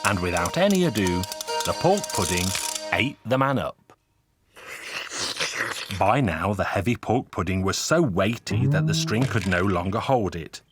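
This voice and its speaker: A man narrating a folk tale in a measured, expressive storybook style over instrumental music. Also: lowish voice.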